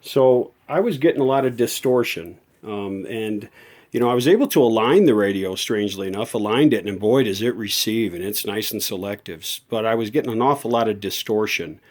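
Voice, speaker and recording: A man's voice: lowish voice, fairly quick, a little mellow. A man giving a casual, unscripted repair walkthrough in a folksy way, thinking aloud and correcting himself as he goes. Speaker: radio repair hobbyist and video host.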